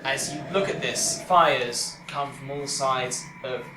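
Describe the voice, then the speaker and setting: A man's voice: medium pitch, steady tone, slow pace. A man in his twenties improvising narration as dungeon master of a tabletop game. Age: 20s. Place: domestic dining room, mic on a boom stand. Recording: roomy, clearly noisy home recording.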